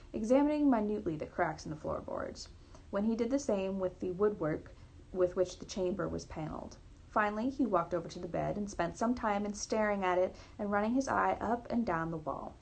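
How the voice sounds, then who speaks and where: A woman's voice: medium pitch, vocal fry, poor audio. A woman narrating a classic story aloud, expressively and with the occasional stumble over words. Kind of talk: read-aloud literary narration.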